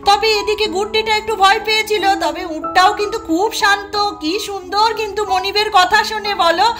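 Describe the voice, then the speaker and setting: A contented village mother in a children's doll tale voiced by a woman. She speaks admiringly at a very high pitch. Music is clearly audible behind. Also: fairly even intonation, fairly quick. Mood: pleased, admiring. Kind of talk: amateur doll-story voice acting.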